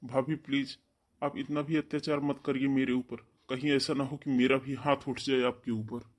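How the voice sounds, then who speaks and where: A man's voice: mid-range voice, little pitch movement, moderate pace, natural voice. A man voicing a protective, defiant young brother, earnest and firm, in a melodramatic cartoon story.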